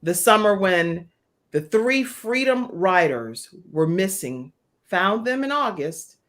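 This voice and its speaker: A woman's voice: mid-range voice, expressive, slow, fairly bright tone. An American woman hosting a live online talk show in an enthusiastic, welcoming manner.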